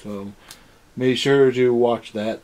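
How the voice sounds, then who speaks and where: A man's voice: mid-range voice, fairly even intonation, slow, a little mellow. Young man ranting casually, exasperated. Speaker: review show host. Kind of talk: casual rant-style episode review chat.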